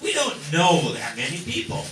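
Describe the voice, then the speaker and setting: A man's voice: on the high side, huge pitch swings, moderate pace, a bit bright. A man playing a stubborn, nostalgic family father in an old-time radio comedy. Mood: insistent. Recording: roomy, distant mic, faint noise.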